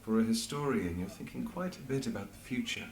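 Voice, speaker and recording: An actor playing a guarded, world-weary leader in a video game, speaking in a restrained, dry way. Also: male voice, medium pitch, lively intonation, fairly quick, vocal fry, reverberant. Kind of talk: dramatic video game voice acting.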